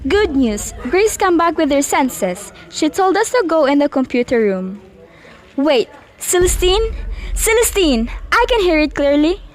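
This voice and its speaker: Young woman as a brisk student messenger, high voice. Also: big pitch swings. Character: young female student relaying telepathic messages. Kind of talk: student radio drama acting.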